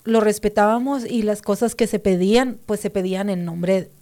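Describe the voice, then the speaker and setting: Female guest from Mexico, warm mellow tone, hesitant testimony with frequent pauses. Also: medium pitch, steady tone. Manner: hesitant, many fillers and restarts, mellow. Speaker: interview guest. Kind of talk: personal faith testimony.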